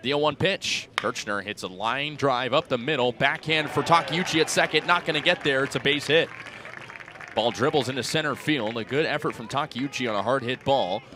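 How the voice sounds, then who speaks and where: A man in his twenties calling baseball play-by-play for radio in crisp, clipped phrases that lift on the action. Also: medium pitch, normal speed, bright, forceful tone, a little hiss or hum. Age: 20s. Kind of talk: live radio sports commentary. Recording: outdoor ballpark crowd behind voice. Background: ballpark crowd.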